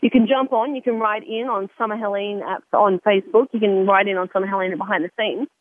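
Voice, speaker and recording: A woman's voice: mid-range voice, steady tone, quick pace. A woman hosting a radio talk show, chatty and often restarting her sentences. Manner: chatty, self-interrupting, repeats words. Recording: narrow phone-like line.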